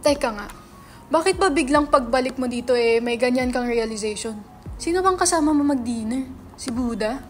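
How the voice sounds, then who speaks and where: A woman's voice: fairly high pitch, moderate pace, faint music behind, close to an everyday voice. A woman with a Filipino accent acting a brash, sarcastic, money-minded young entrepreneur in a dramatic reading, mixing English into Tagalog. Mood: dismissive, teasing. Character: brash, money-minded male website entrepreneur, teasing his friend. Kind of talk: dramatic reading of a stage script.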